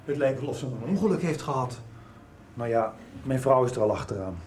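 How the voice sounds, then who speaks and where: A man's voice: mid-range voice, expressive, fairly quick, darkish tone, natural voice. Businessman type in a radio soap, played by an actor, sure of himself, touchy, bit of music behind. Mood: bewildered. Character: defensive, self-assured businessman and association chairman.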